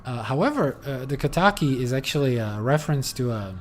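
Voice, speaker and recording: A man's voice: mid-range voice, sing-song, fairly quick, slight background noise. A man streaming a game, talking casually and rambling, with lots of fillers and the odd swear. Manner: rambling, many fillers, loose and profane. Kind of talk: casual gameplay commentary.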